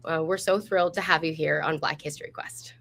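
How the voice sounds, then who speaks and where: A woman's voice: low-pitched, fairly even intonation, a little fast, vocal fry, fairly bright tone, slight background noise. A woman presenting as the host of a history program, reading a scripted introduction in a welcoming, upbeat manner on a live online stream.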